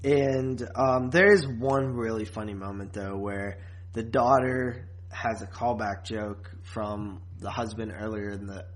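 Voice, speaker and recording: A man's voice: lowish voice, fairly even intonation, a little slow. Casual man podcasting. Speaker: podcast co-host. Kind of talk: casual chat reviewing a TV series.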